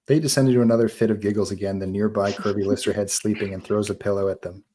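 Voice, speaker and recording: Low-voiced man narrating a screenplay. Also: fairly even intonation, quick pace, warm tone, a little hiss or hum. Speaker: narrator reading stage directions. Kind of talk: live screenplay table read.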